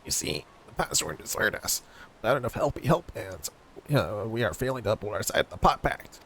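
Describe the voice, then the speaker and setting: A man's voice: on the low side, sing-song, brisk, creaky, fairly bright tone, slight background noise, heavily acted. A man voicing a folksy little goblin-like villager from a video game, with garbled, oddly phrased speech full of doubled words. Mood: earnest, businesslike. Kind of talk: reading game dialogue aloud in character.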